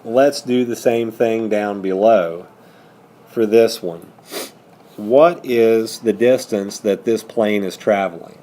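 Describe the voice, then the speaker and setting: Slow-talking male math teacher, muted tone. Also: fairly low pitch, dark tone. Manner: asks questions and answers them himself. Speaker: high school math teacher. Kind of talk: step-by-step math lesson with questions and answers.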